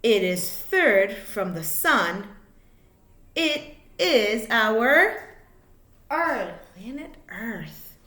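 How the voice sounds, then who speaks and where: A woman reading a children's picture book aloud like a teacher, in an expressive, sing-song way with drawn-out words. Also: on the high side, a little hiss or hum. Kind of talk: read-aloud children's storytelling. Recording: echoey room.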